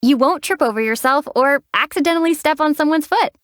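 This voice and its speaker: A young woman voicing a calm, dry-witted heroine in a game, teasing her companion in a deadpan way. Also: high-pitched, a little fast, dry recording. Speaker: player character, traveler heroine. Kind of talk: game voice acting.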